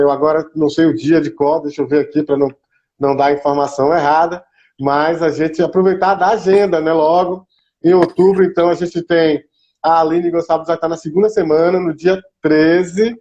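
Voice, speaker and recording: A Brazilian man with a fairly high voice hosts an online chat show. He talks informally and welcomingly, with a Brazilian Portuguese accent. The sound is dull and lo-fi. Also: darkish tone. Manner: informal and welcoming, greets viewers by name. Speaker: live-stream host. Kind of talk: casual, chatty live-stream hosting. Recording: dull lo-fi online stream audio.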